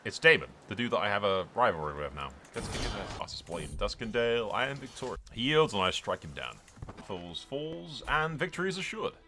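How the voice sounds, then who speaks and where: Bright-voiced man doing casual game commentary. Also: fairly low pitch. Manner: informal, wry asides. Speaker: host of a gaming channel.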